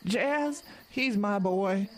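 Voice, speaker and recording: A woman's voice: mid-range voice, drawn-out words. A voice actor playing an old woman from the woods, the carnival owner's mother, who talks in folksy rural grammar and gives ominous warnings in a horror radio drama. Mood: bitter.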